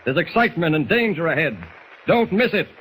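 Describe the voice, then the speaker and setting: A man's voice: on the high side, lively intonation, brisk, fairly bright tone, rough recording. A man narrating an adventure serial as a 1940s radio announcer, urgent, grandiose and theatrical. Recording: vintage radio recording, faint noise.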